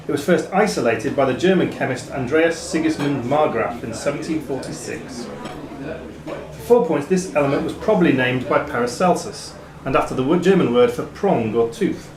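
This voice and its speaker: A man's voice: on the high side, expressive, normal speed. Male quizmaster in an echoey, busy pub. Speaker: quizmaster reading questions. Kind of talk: reading quiz questions to players. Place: pub room during a live quiz. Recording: echoey pub room, chatter behind.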